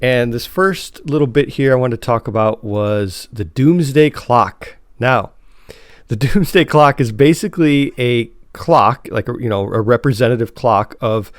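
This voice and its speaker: A man hosting a casual podcast chat, talking in a halting way with repeated words and restarts. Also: mid-range voice, no room sound, studio quality. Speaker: podcast host and editor.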